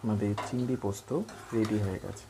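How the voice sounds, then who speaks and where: A home cooking channel host giving a brisk, plain, step-by-step recipe voice-over. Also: mid-range voice, fairly even intonation, gravelly, very noisy. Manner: brisk, plain explanatory delivery.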